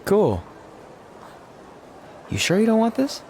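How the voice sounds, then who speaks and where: A man's voice: high-pitched, huge pitch swings, slow, a little mellow, slight background noise, full character voice. An actor playing a cocky young male wrestling champion in a video game, flippant and sarcastic. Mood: cocky, casual. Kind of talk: video game cutscene acting.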